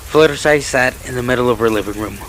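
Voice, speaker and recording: A man's voice: mid-range voice, fast talker, a bit bright, slight background noise, low-quality recording. A man reading scripted fan fiction as a story narrator, with music playing behind him.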